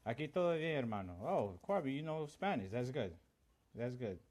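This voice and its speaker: A man's voice: mid-range voice, expressive, moderate pace, a little mellow. A man from Honduras whose first language is Garifuna, hosting a casual live-stream chat, earnest, greeting viewers by name and mixing in Spanish greetings. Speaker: livestream host.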